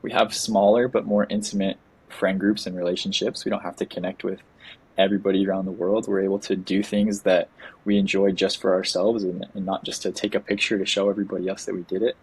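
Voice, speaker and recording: Podcast host, man, deep flat husky voice, earnest and eager. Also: fast, low-quality recording. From United States. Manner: earnest, enthusiastic, often restarts words. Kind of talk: casual interview chat.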